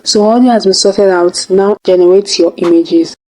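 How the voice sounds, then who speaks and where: A woman's voice: mid-range voice, normal speed, warm tone, rough recording. A woman hosting a tutorial, narrating step by step in a casual way. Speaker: tutorial host.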